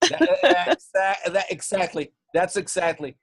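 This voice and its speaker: A man's voice: sits high, sing-song, normal speed, slightly rough. A man from Mexico with a Spanish-tinged English accent, coaching on sales in casual chat, often restarting and repeating words. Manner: repetitive restarts, stumbling over words.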